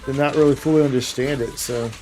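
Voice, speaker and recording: A man commentating live on a game in a loose, slangy, playful way. His voice sits at a medium pitch, and he repeats phrases and drops in little vocal sound effects. Light music plays behind him. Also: fairly even intonation, fast. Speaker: game livestreamer. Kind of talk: casual live gameplay commentary.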